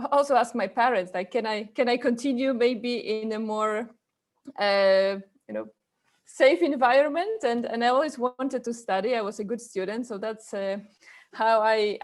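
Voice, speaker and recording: High-voiced Polish woman presenting. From Poland. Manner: hesitant, halting phrasing with repeated words. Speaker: webinar presenter, chapter president. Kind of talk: informal online presentation.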